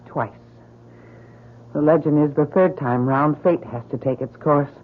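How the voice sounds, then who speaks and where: A woman's voice: sits low, unhurried. An actress playing a strong-willed, fatalistic young woman, earnest and melodramatic, in an old-time radio drama. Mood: sorrowful. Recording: muffled old radio with faint noise.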